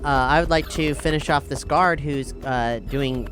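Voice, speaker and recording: A man's voice: mid-range voice, moderate pace, a bit bright, a little hiss or hum. A man playing in a tabletop game, laid-back and casual, swearing freely when things go badly. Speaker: player.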